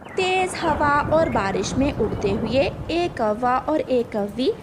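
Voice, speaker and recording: A woman's voice: sits high, expressive, slow pace, noisy background. A woman reading scripted narration for a children's cartoon story.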